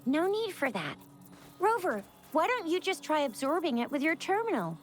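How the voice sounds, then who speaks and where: A woman voicing an excitable, cheeky young female companion in an anime-style game, bubbly and exclaiming. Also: sits high, normal speed. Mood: chirpy explaining. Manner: bubbly, exclaiming, folksy wording. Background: game music.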